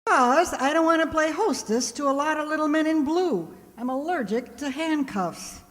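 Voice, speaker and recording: A woman's voice: high-pitched, moderate pace. An actress playing a crook's sarcastic, jittery, wisecracking female accomplice in an old-time detective radio drama. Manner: wisecracking, excitable. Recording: echoey hall with long reverb.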